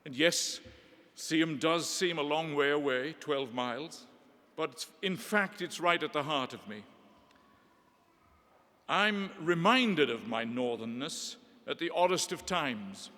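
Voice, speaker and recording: Measured man speaking in a big hall. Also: fairly high pitch, expressive. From Northeast England. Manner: measured, eloquent, gently humorous. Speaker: ceremony speaker. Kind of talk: formal ceremonial address. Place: large hall. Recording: long hall reverb.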